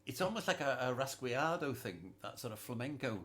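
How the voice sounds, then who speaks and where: A man's voice: mid-range voice, big pitch swings, a little fast, a bit bright. A man hosting a casual music chat, hesitant and repeating words, laughing often. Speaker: host.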